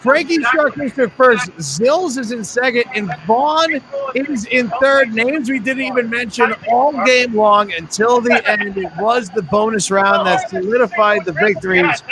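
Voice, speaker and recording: A man's voice: very high voice, a little slow, crowd noise, low-quality recording. A man hosting a live trivia game, joking with players and rambling through sponsor reads.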